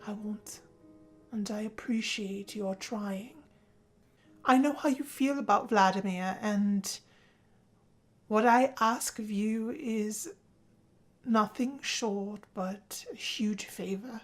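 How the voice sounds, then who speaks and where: A woman voicing a gentle, honorable vampire brother in a scripted drama, speaking softly and solemnly with a husky, gravelly edge. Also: mid-range voice, slow, pauses often. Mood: earnest, tender. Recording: music under the voice. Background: music.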